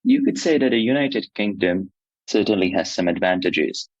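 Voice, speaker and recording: A young man from Slovakia answering interview questions in an earnest, explanatory way, pausing now and then to search for words. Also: low voice, expressive, breathy edge, dry recording, highs cut off. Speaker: PhD student and nonprofit co-director.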